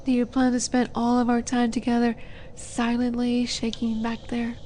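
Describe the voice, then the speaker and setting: Casting: actress for female demon in audio drama, higher-pitched, haughty, flirty and taunting, unhurried delivery, noisy background. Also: monotone, mellow, close to an everyday voice. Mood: teasing menace. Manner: condescending, teasing, unhurried menace with breathy asides. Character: flirty, teasing female demon, haughty would-be buyer of captives. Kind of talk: scripted audio roleplay monologue.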